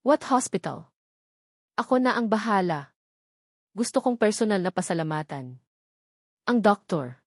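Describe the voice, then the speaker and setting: A woman's voice: medium pitch, animated, unhurried, pauses often, dry recording. Lady narrator doing a rich filipino guy, protective and worried, short caring questions, romance story, dry. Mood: concerned. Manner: short caring questions, mixes English and Tagalog. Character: wealthy man, protective, concerned, generous lover.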